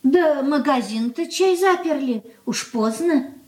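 A woman's voice: sits high, expressive, takes it slowly, a little mellow. An actress playing a fussy, timid merchant-family mother in a 1980s Soviet radio play, homely and fretful. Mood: fretful, hushed.

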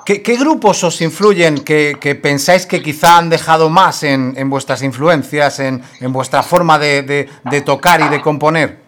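A man's voice: on the high side, moderate pace, few pauses, fairly bright tone, a little hiss or hum. A man with a Spain Spanish accent hosting a radio interview, asking long, rambling questions with many fillers. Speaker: radio host.

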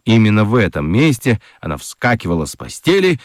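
A man's voice: on the low side, big pitch swings, fairly quick, dry recording, studio quality. A man narrating a children's radio story expressively from a script.

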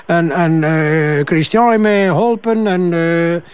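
An actor playing a defensive older Flemish father and company boss in a crime drama, halting, stammering and repeating himself. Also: fairly high pitch, clear, resonant tone, close to an everyday voice. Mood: flustered, insistent. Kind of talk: naturalistic TV crime drama dialogue.

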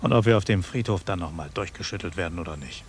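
A man's voice: deep voice, fast talker. An actor playing a gloomy village man, blunt and resigned, in a radio drama. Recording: hissy old cassette recording.

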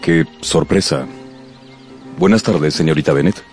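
An actor playing a courteous, reserved wealthy gentleman with formal, polite speech in a radio drama. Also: very deep voice, measured pace, soft background music.

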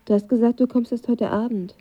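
A woman's voice: a little high, fairly even intonation, brisk, husky, lo-fi sound. An actress playing a reserved, wistful young woman in a radio drama, understated and introspective. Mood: uneasy, reserved. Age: young adult. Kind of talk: radio drama dialogue and letter reading.

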